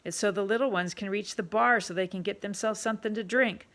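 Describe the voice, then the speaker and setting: A woman's voice: mid-range voice, fairly quick, a bit bright, voice pushed up, natural voice. A woman doing a brusque, coarse pub owner. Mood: gruff, bossy. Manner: rough, ungrammatical talk, bossy.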